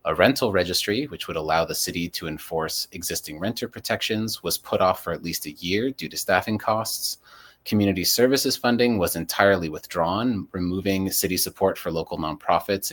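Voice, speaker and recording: A man hosting a local news podcast, moving between reading headlines and casual discussion. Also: low voice.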